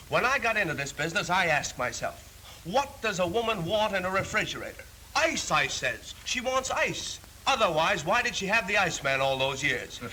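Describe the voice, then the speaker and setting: A brash 30s high pressure salesman, high piercing voice, punchy slangy bragging, old film hiss. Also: pushed and bright, full character voice. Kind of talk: 1930s training film acting. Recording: hissy, noisy 1930s film soundtrack.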